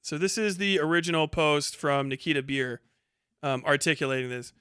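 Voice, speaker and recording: A man's voice: on the high side, fairly even intonation, moderate pace, bright, forceful tone, smooth, no echo, clean studio sound. A man hosting a livestream with casual reaction commentary, talking and reading aloud in a blunt, mocking, profane way. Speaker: livestream host.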